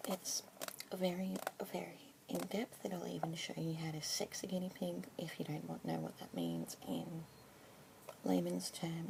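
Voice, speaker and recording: A young woman with an Australian accent whispering softly and gently as a pet adoption consultant in an ASMR roleplay. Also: lowish voice, gravelly. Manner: soft whispering, gentle and unhurried. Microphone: close sensitive ASMR mic. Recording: noisy hiss behind close whisper.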